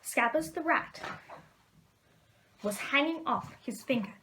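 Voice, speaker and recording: A woman's voice: high voice, expressive, slow, pushed and bright, slight background noise, poor audio. A woman reading a storybook aloud as a storyteller. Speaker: storyteller in character as a witch. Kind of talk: storybook read-aloud narration.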